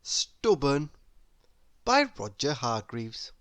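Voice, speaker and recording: Slow, very expressive woman reading a storybook. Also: extremely low pitch, no room sound. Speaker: storybook reader. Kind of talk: read-aloud narration for children.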